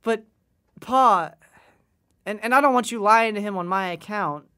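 A man playing an earnest, considerate boy in an audio drama, speaking haltingly with folksy grammar. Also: high voice, pushed and bright, smooth. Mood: anxious, earnest.

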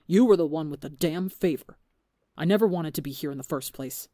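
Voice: mid-range voice, lively intonation, a little fast, dark tone, dry recording, clean studio sound, close to an everyday voice. A storybook reader voicing a bitter, resentful young male pirate crewman who lashes out with accusations in a raised voice. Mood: bitter accusing.

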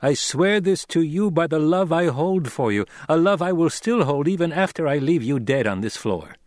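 A man narrating an audiobook puts on a young lord, loyal and dangerously controlled. He speaks with deliberate intensity and command, a little raised in pitch, in a very dry recording. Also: animated, a little mellow, no echo, close to an everyday voice. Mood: solemn, passionate. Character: commanding young nobleman, deadly controlled, fiercely loyal son. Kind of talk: audiobook character acting.

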